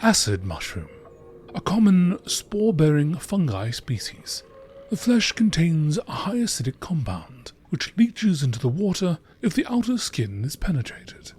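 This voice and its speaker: Man, slightly high voice, lively intonation, measured nature documentary narration, husky. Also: a little hiss or hum. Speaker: narrator reading game lore entries.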